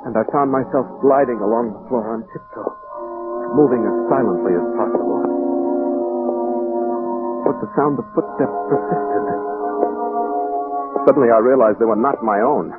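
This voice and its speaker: A man's voice: mid-range voice, moderate pace, pauses often, lightly acted. An actor playing an intense fugitive poet reading his secret diary as a precise, theatrical old-time radio monologue. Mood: unnerved, suspenseful. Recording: muffled old radio recording with music behind.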